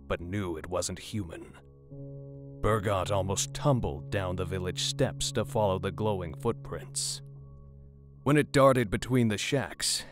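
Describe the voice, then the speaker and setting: A man narrating a dark horror story in a dramatic, scripted storytelling style. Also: deep voice. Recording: music bed under the narration.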